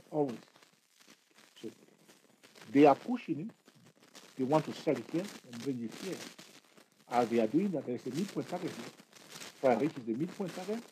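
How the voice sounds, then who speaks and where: A man's voice: mid-range voice, dark tone, rough recording. Chatty man teaching from charts. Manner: chatty, repetitive, asks the viewer questions; jokes and laughs at himself. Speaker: trading tutor. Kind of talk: screen-share chart tutorial. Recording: faint background noise.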